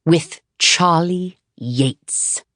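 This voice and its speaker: A smug-slow, teasing Hollywood manager voiced by a woman narrator savours his big news. He stretches out each phrase with dramatic pauses, in a low voice with a dry audiobook sound. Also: a bit bright, no room sound. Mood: savouring suspense. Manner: drawn-out delivery with dramatic pauses.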